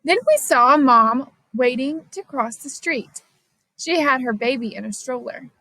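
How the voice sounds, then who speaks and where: Higher-pitched woman reading a children's story. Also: animated, unhurried, sharp tone, no room sound, low-quality recording. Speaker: storybook reader. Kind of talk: scripted read-aloud narration of a children's science story.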